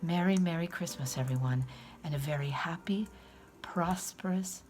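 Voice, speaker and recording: A woman reading a storybook poem to children in a theatrical manner, often dropping to hushed, breathy tones. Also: on the low side, normal speed, soft background music.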